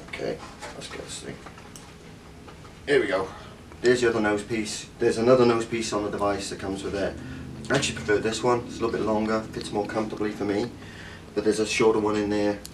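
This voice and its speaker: A man in his thirties with a Cardiff Welsh accent, casually reviewing a gadget at home, enthusiastic and rambling with many fillers. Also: fairly low pitch. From Wales. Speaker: tech reviewer. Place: home living room. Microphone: camera mic from a few metres. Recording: distant camera mic, faint room noise.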